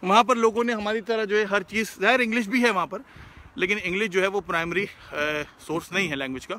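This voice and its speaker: A man's voice: sits high, fast, sharp tone. A man with a Pakistani Urdu accent hosting a live question session, talking earnestly and casually while out on the road, mixing in English words. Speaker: host answering viewer questions. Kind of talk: casual live-stream talk. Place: outdoors, driving past shops.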